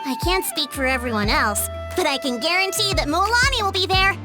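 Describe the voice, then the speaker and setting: A sweet young girl from a fantasy game, keen and friendly. The voice is extremely high and lively in its intonation, with music clearly audible behind it. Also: a little fast. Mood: eager, excited. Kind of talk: video game dialogue.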